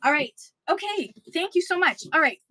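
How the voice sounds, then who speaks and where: A woman selling books in a chatty live-stream auction, her voice getting hoarse, with playful sing-song asides. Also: very high voice, expressive, moderate pace, bright, forceful tone, dry recording.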